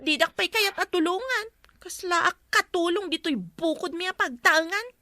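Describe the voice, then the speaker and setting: A woman's voice: very high-pitched, moderate pace, pushed and bright, dry recording, clean studio sound. A woman playing an overworked complaining girl. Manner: complaining, put-upon. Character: overworked young woman doing all chores.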